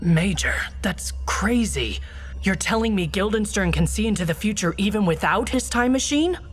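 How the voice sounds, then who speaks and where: A woman's voice: medium pitch, lively intonation, normal speed, faint music behind, lightly acted. An actress playing a serious, skeptical female secret agent with a dry, businesslike manner in a comedic video game. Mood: disbelieving.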